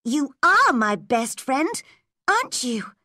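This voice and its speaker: A woman voicing a spirited, proud, quick-tempered young girl in a storybook, with emphatic, emotional delivery. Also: sits high, big pitch swings, sharp tone, no room sound, clean studio sound, voice pushed up, full character voice. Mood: happy, affectionate.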